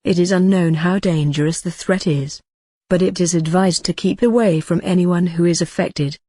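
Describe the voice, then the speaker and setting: A synthetic announcer reads an emergency alert in a formal, flat and measured way. The pitch sits in the middle, and the sound is dry with no room around it. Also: no room sound.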